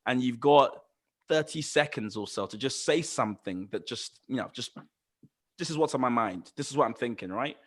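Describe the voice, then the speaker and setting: A man's voice: medium pitch, moderate pace, a bit bright. A man hosting a podcast with eager enthusiasm, repeating words and restarting his sentences as he talks. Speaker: podcast host.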